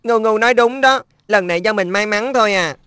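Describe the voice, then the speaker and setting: A performer voicing a modest, hardworking schoolboy in a dubbed cartoon, speaking gently and reassuringly. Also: mid-range voice, brisk, clean tone, no echo, close to an everyday voice. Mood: modest calm. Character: modest diligent schoolboy, top of class. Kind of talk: cartoon dubbing.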